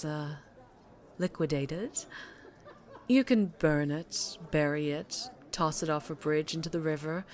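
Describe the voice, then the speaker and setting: A woman playing a charming, menacing mafia boss in an ASMR roleplay, with a sultry, teasing, unhurried drawl. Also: lowish voice, a little mellow.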